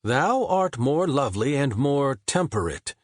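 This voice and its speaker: A man voicing a theatrical poet in his forties, who declaims his lines slowly and loftily with a husky voice. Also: mid-range voice, very animated, no room sound, studio quality, pitched above the performer's own voice. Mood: musing, poetic. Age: 40s. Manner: drawn-out, declaiming, slow and lofty. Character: theatrical poet, 41-year-old man, grandly wordy.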